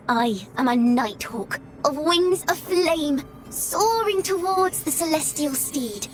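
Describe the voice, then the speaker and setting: A lyrical female poet declaiming heroic verse in a video game. Her voice is very high and she speaks slowly and solemnly over clear music. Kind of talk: slow poetic recitation in a video game.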